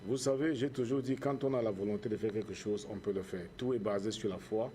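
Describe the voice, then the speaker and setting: A man with a Cameroonian accent speaks in a low, dark and relaxed voice. He answers interview questions earnestly, repeating phrases like a preacher. There is faint noise and ambience behind him. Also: a little fast. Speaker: charity founder and musician, interview guest.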